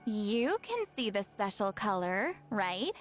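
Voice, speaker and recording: A woman's voice: mid-range voice, very animated, slow pace. A woman voicing an aloof, centuries-old sorceress who talks in a reserved, matter-of-fact way. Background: faint game music.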